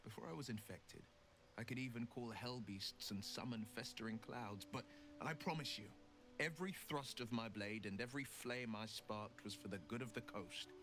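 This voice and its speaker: A man's voice: medium pitch, moderate pace, over music, natural voice, poor audio. Man acting earnest, noble monster hunter. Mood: solemn, proud. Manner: solemn, declamatory. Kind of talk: video game voice acting.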